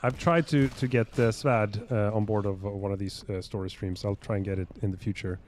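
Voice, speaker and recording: A man's voice: lowish voice, fairly even intonation, a little fast, darkish tone, slight background noise. A young man chatting on a livestream as host, rambling and reading out viewer questions. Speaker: stream host. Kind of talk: casual livestream chat.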